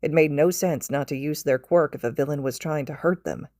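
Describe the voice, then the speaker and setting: Female podfic narrator, low pitch, scripted storytelling, very dry close sound. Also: fairly quick, warm tone, dry recording. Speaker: podfic reader.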